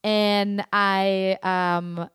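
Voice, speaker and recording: A woman's voice: mid-range voice, flat delivery, extremely slow, fairly bright tone, clear, resonant tone, dry recording, clean studio sound. A young adult woman from Germany, a native German speaker with a mostly American accent, chatting casually as an actress guest, with frequent fillers and laughs. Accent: American with slight German. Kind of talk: casual interview chat.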